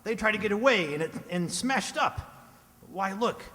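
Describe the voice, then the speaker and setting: An actor playing a folksy, excitable small-town police chief, full of exclamations, in a radio serial. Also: male voice, high voice, moderate pace. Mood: surprised. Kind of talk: old-time radio serial acting. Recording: echoey hall.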